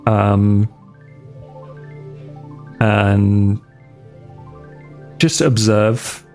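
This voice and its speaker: A man with a creaky, gravelly voice speaks slowly as a player in a tabletop role-playing game. He feels his way through his character's actions in hesitant fragments, with music playing behind him. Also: fairly low pitch, little pitch movement, a little mellow. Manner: hesitant, thinking aloud in fragments. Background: background music.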